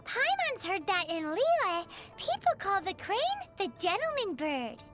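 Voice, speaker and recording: A woman voicing a tiny, excitable, childlike fairy companion, chirpy and eager, in a video game. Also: very high voice, measured pace, soft background music, exaggerated voice. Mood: bright, chatty. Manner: chirpy, refers to herself in third person. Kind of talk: video game character voice lines.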